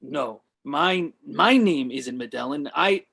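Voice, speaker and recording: Man acting as pushy washed-up actor, higher-pitched voice, earnest, self-important, sitcom read. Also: animated, takes it slowly, creaky, sharp tone, exaggerated voice. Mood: indignant, wistful. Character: Drama, pushy deluded male washed-up actor pitching ideas. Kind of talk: comedy script table read.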